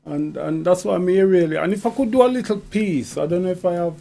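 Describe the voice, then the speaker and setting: Man, a deliberate, emphatic poet guest. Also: sits high, gravelly, warm tone. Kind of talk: conversational radio interview.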